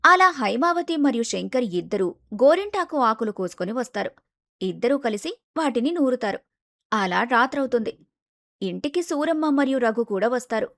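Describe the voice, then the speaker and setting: A woman's voice: fairly high pitch, expressive, normal speed, fairly bright tone, no echo, clean studio sound. A woman reading scripted narration for a moral story as its storyteller.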